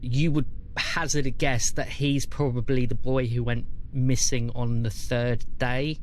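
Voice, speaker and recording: A man's voice: mid-range voice, level tone, unhurried. A man running a tabletop role-playing game, narrating scenes and guiding the players. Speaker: game master. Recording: faint background noise.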